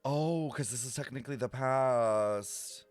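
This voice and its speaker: A man's voice: medium pitch, a little slow, darkish tone, clean tone. A man streaming a game, reacting in a slangy, blunt, casual way. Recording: game music under the voice. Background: game music.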